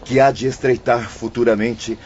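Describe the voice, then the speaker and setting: A man's voice: mid-range voice, steady tone, normal speed, darkish tone, natural voice. A man acting a patient, learned spirit guide in an audio drama, speaking in a formal, didactic way. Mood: solemn, instructive. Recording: dull lo-fi audio, faint noisy ambience.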